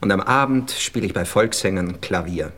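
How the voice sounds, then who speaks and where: Vain male writer and lover, Austrian accent, lowish husky voice, pompous, gushing, theatrical radio play. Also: lively intonation, normal speed, fairly bright tone. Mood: sentimental.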